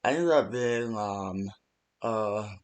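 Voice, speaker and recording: A man with a slightly low voice talks slowly, as if leaving phone messages for a game. His delivery is casual and unpolished, full of hesitant pauses and ums as he rambles along. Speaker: phone-call guide in a fan horror game. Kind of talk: improvised game phone-call voice lines.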